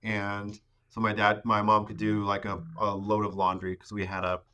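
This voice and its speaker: A man in his thirties, a podcast guest, talking casually about growing up in the rainforest, with many pauses and restarts. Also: on the low side, completely flat delivery, pushed and bright, a little hiss or hum. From Ecuador. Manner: hesitant, many fillers and restarts. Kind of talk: casual conversation.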